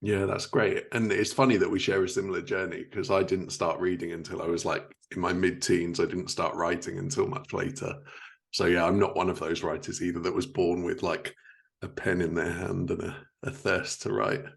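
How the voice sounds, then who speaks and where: A man's voice: low voice, level tone, normal speed, creaky. A man hosting a podcast interview in a conversational style, speaking as a fellow writer.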